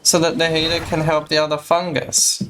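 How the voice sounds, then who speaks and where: A woman with a low voice reacts casually while playing a video game. Her talk is informal and blunt, with faint noise in the recording. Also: level tone, normal speed. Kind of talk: casual gameplay commentary.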